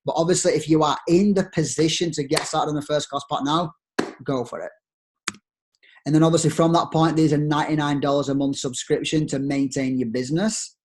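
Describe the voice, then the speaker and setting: A young man with a broad Yorkshire accent giving an upbeat, persuasive sales pitch to network marketers over a screen share, using plenty of rhetorical questions. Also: steady tone, brisk, a little mellow, a little hiss or hum. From Yorkshire, England.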